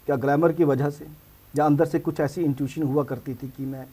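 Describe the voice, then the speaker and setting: Guy hosting a studio interview, soft mellow tone, bit raspy. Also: fairly high pitch, fairly even intonation, fairly quick. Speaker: interviewer, programme host.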